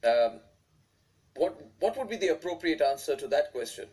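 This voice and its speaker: A man's voice: a little high, very animated, normal speed, darkish tone, low-quality recording. A man moderating a debate, cutting in to steer the discussion between guests. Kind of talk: hosting a televised debate.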